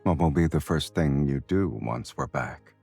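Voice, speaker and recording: Very deep, husky, teasing male lover. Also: animated, moderate pace, a little mellow, faint music behind, heavily acted. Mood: flirtatious. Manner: smooth-talking, teasing. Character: confident, teasing male lover and champion fighter. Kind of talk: romance game voice acting.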